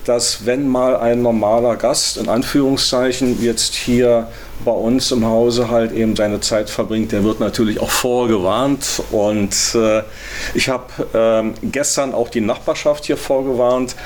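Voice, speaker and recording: A man working in a hotel gives unscripted interview answers in a hesitant, halting way. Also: medium pitch, fairly even intonation, darkish tone.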